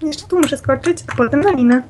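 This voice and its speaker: A woman's voice: high voice, fast talker, background noise. A woman chatting while playing a game with a friend, giggling often and playfully complaining. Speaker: co-player. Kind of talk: casual let's-play chat.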